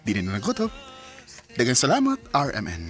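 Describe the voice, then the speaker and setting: A man's voice: mid-range voice, huge pitch swings, brisk, loud music behind the voice. A man narrating his own love story for a radio drama in a sincere, grateful way.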